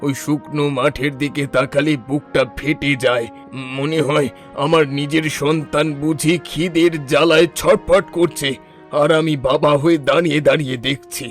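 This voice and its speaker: Anguished middle-aged farmer father for rural cartoon played by a man, fairly high voice, faint music. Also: fairly even intonation, normal speed, natural voice. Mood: despairing. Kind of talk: animated moral story voice acting.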